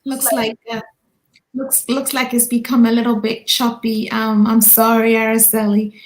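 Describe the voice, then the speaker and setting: A woman with a Ghanaian accent hosts a video-call panel, her voice set a little high. She talks earnestly and personally, and the room around her rings with echo. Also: little pitch movement, moderate pace. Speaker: host. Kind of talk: casual panel chat.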